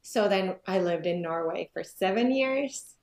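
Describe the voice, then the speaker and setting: A woman's voice: mid-range voice, expressive, unhurried, a little mellow. A woman in her forties from Finland, a yoga business owner, talking casually as an interview guest and thinking aloud with many fillers. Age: 40s. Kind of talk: casual conversation. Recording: echoey room.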